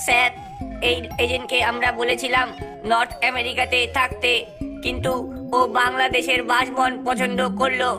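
A woman plays a deferential alien aide reporting to a superior. The cartoon voice is high and very flat, matter-of-fact, with music clearly behind it. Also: moderate pace, lightly acted. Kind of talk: animated cartoon voice acting.

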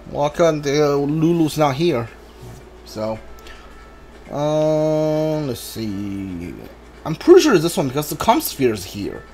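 Higher-voiced man muttering through a game. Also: a little mellow. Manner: muttering to himself as he thinks aloud. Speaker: video game streamer playing a blind playthrough. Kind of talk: casual off-the-cuff gameplay commentary. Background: video game music.

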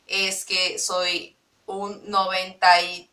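A woman's voice: lowish voice, flat delivery, very slow, sharp tone, far from the mic. A young woman from Mexico City with a mixed Latin American Spanish accent, chatting to camera in her bedroom, rambling and giggling between fillers. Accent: mixed Latin American Spanish with Mexico City base. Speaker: vlogger. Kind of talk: casual chat to camera. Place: small bedroom indoors.

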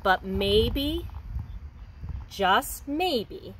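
A woman in her thirties reads a picture book aloud to small children with a gentle, expressive lilt. Her voice sits a little high and she takes her time, unhurried and slow. She was recorded outdoors on a camera held close, with faint outdoor noise behind her. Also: poor audio. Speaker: preschool teacher. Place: outdoors in front of a house.

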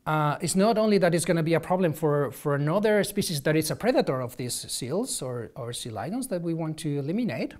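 Man, halting scientist. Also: a little high, expressive, darkish tone. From Spain. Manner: halting, many restarts and ums, gestures while explaining. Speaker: marine ecologist. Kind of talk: interview answers to camera. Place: office with shelves, indoors. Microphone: lapel.

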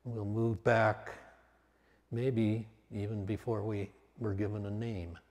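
A man guides a meditation in a mellow voice that rings through a long reverb. He speaks very slowly and calmly, setting out short phrases with long pauses between them. The delivery is unscripted and measured. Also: on the low side. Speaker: meditation teacher. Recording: long reverberant hall sound.

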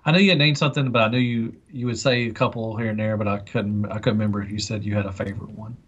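A man's voice: fairly low pitch, fairly even intonation, rapid-fire, fairly bright tone, breathy edge, highs cut off. A man chatting casually as a podcast panelist, with a rambling, colloquial way of talking. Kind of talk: casual chat.

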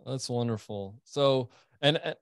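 A man moderating an online panel, asking guests questions in a slightly halting way into a podcast microphone. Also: medium pitch. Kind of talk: hosting a panel. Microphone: podcast mic, video call.

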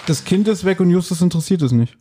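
A man's voice: high voice, lively intonation, fast, warm tone, slight background noise. A man co-hosting a podcast, bantering casually and retelling a story in conversation. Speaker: podcast co-host.

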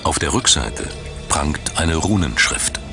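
Very deep male documentary narrator. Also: big pitch swings, slow pace, vocal fry, over music.

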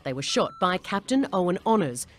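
A woman's voice: fairly low pitch, big pitch swings, brisk. An Australian woman in her thirties delivering a television news report as a correspondent. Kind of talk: live news report and scripted narration. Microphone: lapel mic.

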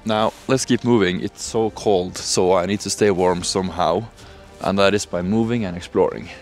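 A man's voice: deep voice, steady tone, normal speed, soft background music. A young man hosting a casual exploring vlog, thinking aloud with fillers, filmed on a handheld camera in a large, cold, empty building. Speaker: urban explorer host. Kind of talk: casual on-location vlog talk.

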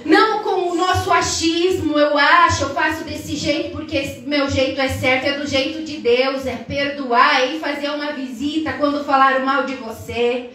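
A woman's voice: high voice, fairly even intonation, normal speed, hardly any pauses, far from the mic. Fervent woman preacher in echoey hall. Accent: Brazilian Portuguese. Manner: fervent, sing-song cadence rising to shouts. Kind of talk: Pentecostal sermon. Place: large tiled church hall, indoors. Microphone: far camera across the hall.

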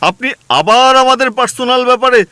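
A man's voice: very high-pitched, fast talker, pushed and bright, smooth, no echo. A man playing a jealous, hot-tempered husband. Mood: quarrelsome. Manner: quarrelsome, sarcastic, stammering when agitated. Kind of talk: radio play acting.

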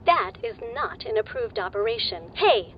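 A woman voicing a polite female base computer AI who speaks in a calm, formal, deadpan way, a little patronizing. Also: a little high, moderate pace, lo-fi sound, background noise.

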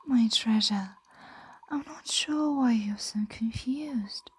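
A woman voicing a possessive, condescending ancient dragon in a breathy, coaxing ASMR roleplay whisper. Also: a little high, slow pace, no room sound, full character voice, low-quality recording. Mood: amused, condescending.

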